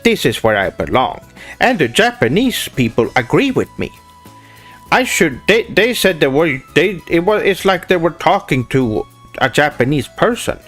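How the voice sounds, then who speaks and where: Young Swedish man, lively slightly high voice, pompous anime-fan streamer persona, faint music behind. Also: moderate pace. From Sweden. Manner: pompous weeaboo persona, sprinkles Japanese words; hesitant restarts with many "uh"s. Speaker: streamer answering chat. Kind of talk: casual livestream chat, reading questions aloud.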